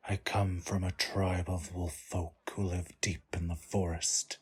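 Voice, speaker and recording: Wolf-folk tribesman male actor, low and very breathy, slow, humble and cautious, fantasy roleplay. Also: flat delivery, exaggerated voice. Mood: hesitant, pleading. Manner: hesitant, deferential. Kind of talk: ASMR roleplay acting.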